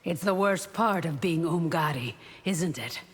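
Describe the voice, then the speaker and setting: An actress playing a resolute leader of an elite warrior guard in a video game, with a formal manner. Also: female voice, lowish voice, lively intonation, a little slow, breathy edge. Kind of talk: video game dialogue acting. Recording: long hall-like reverb.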